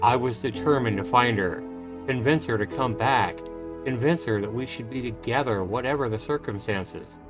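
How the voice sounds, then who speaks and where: A man hosting a livestream, reading a visual novel's first-person story aloud in an expressive, suspenseful way. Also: mid-range voice, normal speed. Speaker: livestream host. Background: game music.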